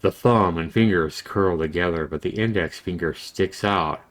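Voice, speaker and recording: A man reading a visual novel game aloud as narrator, deliberate and storytelling, with casual asides. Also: low-pitched, a bit bright. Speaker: video game reviewer and narrator.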